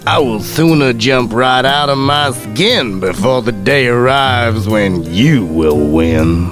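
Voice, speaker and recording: A man playing a cocky, boastful satyr musician in comic radio drama, taunting in theatrical rhyme with a gravelly voice. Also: medium pitch, animated, takes it slowly, over music. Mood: defiant.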